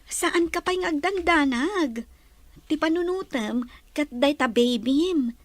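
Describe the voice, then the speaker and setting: Young woman friend, very high voice, lively, reassuring, radio drama. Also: takes it slowly, no echo.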